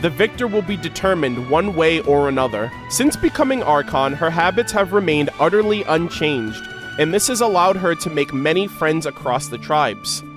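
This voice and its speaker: A man's voice: fairly high pitch, expressive, moderate pace, over music. A man doing casual reaction commentary and reading game lore aloud, as an enthusiastic fan who uses slang. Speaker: gaming reaction video creator.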